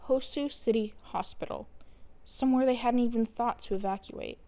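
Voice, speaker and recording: A woman's voice: fairly high pitch, moderate pace, warm tone, natural voice. A woman voicing a wary, shaken teenage boy with a tense, blunt manner in an audio story. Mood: shocked.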